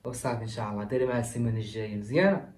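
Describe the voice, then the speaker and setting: An actor playing a bossy, short-tempered older brother, speaking with a Moroccan accent in a brusque, scolding way. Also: male voice, medium pitch, rapid-fire, a little mellow, far from the mic, slight background noise, natural voice. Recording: roomy echo, mic set back.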